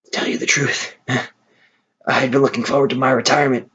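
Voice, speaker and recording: A man reading aloud, voicing a tired, loyal old workhorse, soft and resigned. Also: mid-range voice, level tone, moderate pace, husky, highs cut off, pitched above the performer's own voice. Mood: resigned gentle.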